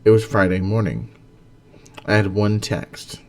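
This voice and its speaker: A man's voice: on the low side, a little slow. A man who hosts and narrates stories, reading in an earnest, dramatic way and chatting casually about them. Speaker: host and story narrator.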